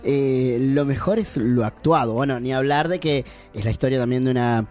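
A man's voice: medium pitch, normal speed, a little mellow, soft background music. A middle-aged man co-hosting a radio show, chatty and playful, laughing often. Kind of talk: casual radio chat.

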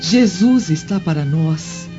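A gentle Roman noblewoman in a radio drama, humble and devout. Her voice is soft-edged and mellow, solemn and pleading, in a dull recording with faint music behind it. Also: fairly low pitch, expressive, slow. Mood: earnest, dignified. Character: humble, devout Roman senator's wife, gentle but firm. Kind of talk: old-fashioned radio drama acting.

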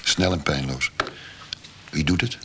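A man's voice: extremely low pitch, a little slow, vocal fry, bright, forceful tone, a little hiss or hum, heavily acted. An actor playing a cold, businesslike male interrogator in a radio drama, speaking in a terse, matter-of-fact way. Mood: cool, conspiratorial.

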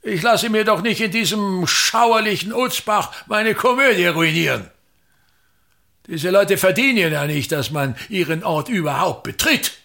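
Radio drama: self-important older patriarch of the stage, creaky voice, very animated pitch, pompous delivery. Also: male voice, measured pace, exaggerated voice. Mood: scornful, aggrieved. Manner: pompous, declamatory, emphatic repetitions. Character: pompous, tyrannical older actor-patriarch, grandiose and aggrieved. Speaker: actor. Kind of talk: theatrical radio drama monologue.

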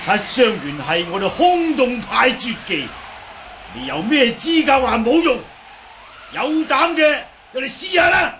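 A man's voice: very high-pitched, very animated, unhurried, noisy background, heavily acted. A man acting a proud, hot-tempered older martial arts master, blustering indignantly in a Cantonese radio drama. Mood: furious, challenging.

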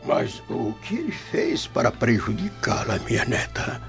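A man playing a gentle, concerned old Roman grandfather in a radio soap, with hesitant questions. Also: mid-range voice, huge pitch swings, unhurried. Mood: perplexed. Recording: dull lo-fi, music behind.

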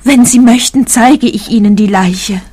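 A woman's voice: on the high side, measured pace, mellow, slight background noise, lightly acted. Anxious, insistent female client played by an actress. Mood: fearful, urgent. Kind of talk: radio play dialogue.

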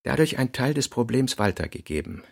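Man reading literary fragments, deliberate and clipped. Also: medium pitch, very animated, vocal fry. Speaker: radio play reader of literary notes.

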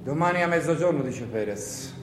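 A man's voice: fairly high pitch, lively intonation, normal speed, over music, close to an everyday voice. A man playing a seasoned, dismissive partisan comrade in a theatrical radio play, speaking in a terse, impatient way. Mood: curt. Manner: terse, matter-of-fact, impatient. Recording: roomy echo with faint noise.

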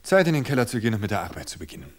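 A man's voice: fairly low pitch, very animated, rapid-fire. A man reading a story aloud and voicing a controlling, menacing husband who speaks in curt commands. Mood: commanding.

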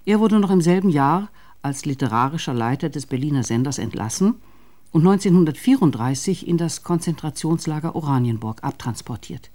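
A man's voice: a little high, lively intonation, fairly quick, mellow. A man reading scripted narration for a radio feature.

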